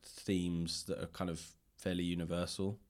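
Man, podcast host, very deep pitch, casual conversational interviewing, informal phrasing. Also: little pitch movement, moderate pace. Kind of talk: casual chat. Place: library room.

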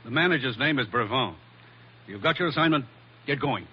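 Casting: male actor for no-nonsense American commissioner, medium pitch, commanding, 1940s radio drama, muffled sound. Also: expressive, fairly quick, natural voice. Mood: stern, urgent. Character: stern, brisk commissioner handing out assignments. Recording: muffled old radio recording.

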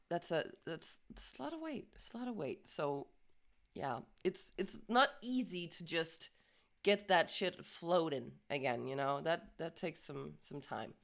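A woman's voice: low voice, fairly bright tone. A woman co-hosting a podcast, reading news casually, stumbling over words, swearing and laughing often. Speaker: podcast co-host.

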